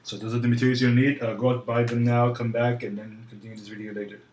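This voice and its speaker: A man putting on a French accent as he presents an informal how-to tutorial in a hesitant, halting way. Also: mid-range voice, flat delivery, darkish tone. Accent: put-on French. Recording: roomy echo, faint background noise.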